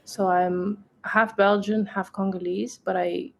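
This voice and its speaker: A woman's voice: mid-range voice, little pitch movement, slow pace, gravelly, a little hiss or hum. Thoughtful young woman guest. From Tanzania. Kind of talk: relaxed conversational storytelling.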